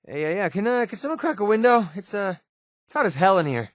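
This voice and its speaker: A man's voice: high voice, very animated, fast, no echo, studio quality. A man with an American accent playing a sarcastic, wisecracking young mercenary companion in a video game. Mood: irritated. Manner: sardonic, wisecracking, colloquial. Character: wry, sarcastic young male wasteland mercenary. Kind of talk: video game companion dialogue, naturalistic acting.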